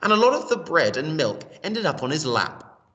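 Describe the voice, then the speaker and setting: A man reads a children's story with hugely varied intonation. His voice is bright and mid-pitched, heard from slightly away from the microphone. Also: sing-song, moderate pace, recorded from a distance, dull audio. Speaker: storytelling reader. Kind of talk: expressive children's story reading.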